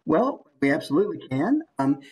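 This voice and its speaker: A man's voice: a little high, very animated, brisk, darkish tone, soft background music, low-quality recording. A man leading an online class as an informal, encouraging instructor. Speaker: voice acting workshop presenter. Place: online video call.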